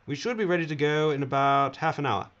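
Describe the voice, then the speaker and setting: A man's voice: fairly high pitch, fast, fairly bright tone, close to an everyday voice. Man playing a smarmy, glib corporate boss, muffled recording. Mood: smarmy, self-pleased. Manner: glib, patronizing, oily. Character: smarmy, self-satisfied male corporate boss. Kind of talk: audio drama acting. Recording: dull, noisy audio.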